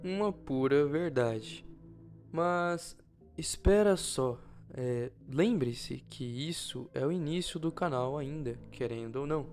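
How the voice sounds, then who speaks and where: A man's voice: mid-range voice, darkish tone. A man with a Brazilian accent doing informal video narration as a channel co-host. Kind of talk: informal video narration about analog horror. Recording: music behind the voice. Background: background music.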